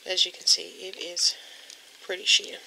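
A young woman with a croaky voice casually showing nail polish at her desk at home, rambling with lots of ums. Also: lowish voice, monotone, measured pace. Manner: chatty, rambling with many ums. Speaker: beauty vlogger. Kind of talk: casual product demo. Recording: home recording with clear background noise.

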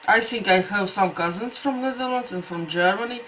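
A woman's voice: mid-range voice, fairly quick, fairly bright tone, slight background noise, poor audio. A middle-aged woman with a Latvian accent, talking casually to a webcam at her desk at home and thinking aloud with many restarts. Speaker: vlogger. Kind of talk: casual talk to camera. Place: small home room with shelves.

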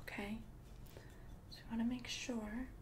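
A woman playing a calm, earnest potion-selling witch in an ASMR roleplay, whispering softly and breathily with slow, careful explanations. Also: on the high side, background noise, lightly acted. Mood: gently cautioning.

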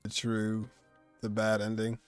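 A man's voice: on the low side, monotone, slow pace, clear, resonant tone, dry recording. A man in his twenties giving casual live reaction commentary as he streams a game. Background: game music.

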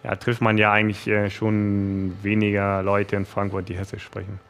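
A young man from northern Germany, a goalkeeper guest in a studio interview, answering haltingly with many fillers into a headset mic. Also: fairly low pitch, monotone, fairly bright tone, clean tone.

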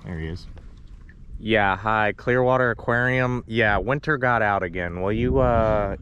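A young man hosting a fishing vlog, chatting casually and playfully with excited exclamations while wading outdoors. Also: fairly low pitch, unhurried, dull audio, slight background noise. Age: young adult. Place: outdoors wading in open shallow water. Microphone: action camera, handheld or body-worn.

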